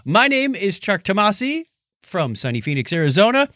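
American male co-host, higher-pitched and lively, teasing conversational delivery. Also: normal speed, dry recording, clean studio sound. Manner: joking, teasing banter. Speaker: podcast co-host. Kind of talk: casual chat.

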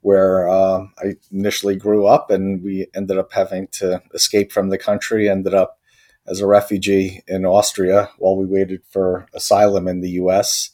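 A man from Croatia, a tech company founder, answering interview questions thoughtfully with many pauses and restarts. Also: low-pitched, monotone, dark tone. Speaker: company co-founder and technology chief.

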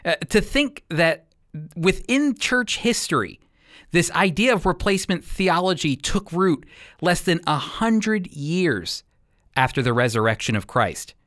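A man's voice: sits high, big pitch swings, unhurried, fairly bright tone, no room sound. Male religious radio host, dry close sound. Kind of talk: conversational religious radio teaching.

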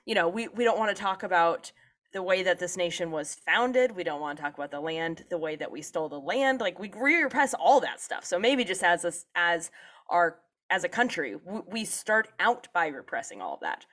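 A woman's voice: lowish voice, big pitch swings, moderate pace, fairly bright tone. Joking woman in casual chat. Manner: jokey, slips into comic put-on voices. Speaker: podcast co-host.

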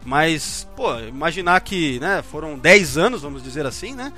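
A man's voice: on the high side, expressive, normal speed, sharp tone, faint music behind. A man co-hosting a podcast, chatting casually and rambling with many restarts and fillers. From Brazil. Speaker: podcast co-host.

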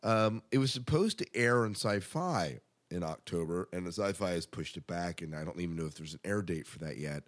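A man's voice: deep voice, lively intonation, a little fast, few pauses. A man hosting a podcast, chatting casually and making announcements in an enthusiastic manner. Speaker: podcast host.

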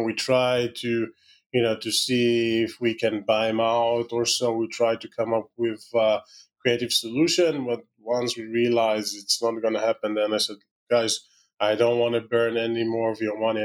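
A middle-aged man with an Israeli accent, a startup founder answering podcast questions in halting English full of "you know" fillers. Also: lowish voice. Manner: halting, many "you know" fillers, non-native grammar.

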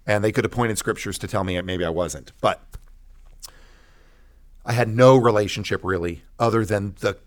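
An American man, a pastor, shares his testimony in conversation with an earnest, preacherly delivery and emphatic pauses. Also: lowish voice. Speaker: pastor and guest.

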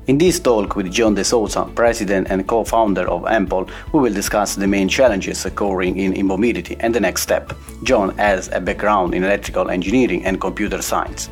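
A man hosting a podcast interview in a halting way, often repeating and rephrasing his phrases. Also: on the low side. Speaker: podcast host.

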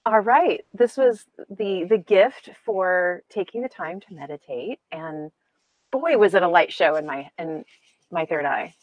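A woman's voice: fairly low pitch, animated, measured pace, no echo, rough recording. A woman chatting casually about meditation, rambling and giggling as she tells a story.

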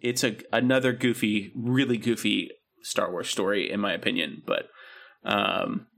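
A man's voice: fairly low pitch, fairly even intonation, moderate pace, gravelly, a bit bright. A man co-hosting a podcast, talking casually and rambling with lots of ums and likes. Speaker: podcast co-host. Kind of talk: casual chat.

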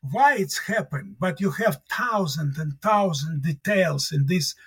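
A man's voice: on the high side, expressive, measured pace, fairly bright tone, breathy edge. A middle-aged man whose first language is Russian, a mathematics professor speaking as an interview guest in an earnest, emphatic way, often repeating his key words.

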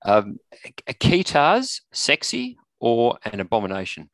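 A man's voice: medium pitch, wildly swooping pitch, unhurried, a bit bright, no echo, poor audio. A man co-hosting an interview in casual, chatty style. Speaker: interview co-host. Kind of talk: casual chat.

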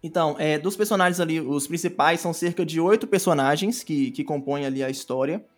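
A man's voice: fairly high pitch, fast talker, soft background music. A Brazilian man speaking casually as an interview guest, explaining in a rambling way and often rephrasing himself. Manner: rambling, self-correcting, repeats phrases. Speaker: podcast guest, audio drama creator.

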